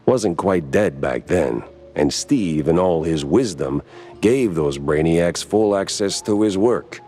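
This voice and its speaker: Very deep, world-weary hard-boiled detective. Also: male voice, expressive, takes it slowly, a little mellow, faint music behind. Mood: dry, uneasy. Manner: sardonic, world-weary, terse slang. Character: hard-boiled mouse private eye and war veteran, weary, wisecracking. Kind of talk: 1930s noir cartoon detective acting.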